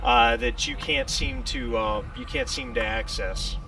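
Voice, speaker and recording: A man running a tabletop game as game master, narrating off the cuff in a halting way, often repeating and restarting his phrases. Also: medium pitch, wildly swooping pitch, vocal fry, sharp tone. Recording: noisy, distant microphone.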